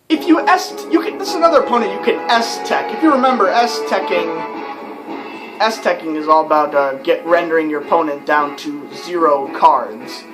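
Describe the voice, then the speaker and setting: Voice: medium pitch, lively intonation, normal speed, low-quality recording. A young adult rambling casually as a let's play commentator while playing a video game. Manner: rambling and chatty, with many fillers. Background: game soundtrack music.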